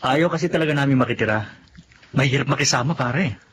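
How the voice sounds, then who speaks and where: A man's voice: mid-range voice, fast talker, noisy background, close to an everyday voice. An actor playing a sympathetic, easygoing male friend in a Filipino radio drama.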